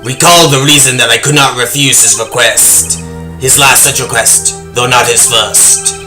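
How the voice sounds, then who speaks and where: A man playing a proud, theatrical stage magician with formal, measured phrasing in a game fandub, with music behind him. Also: medium pitch, steady tone, lightly acted. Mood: grave, confessional. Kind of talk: dramatic dialogue in a video game fandub. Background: prominent music.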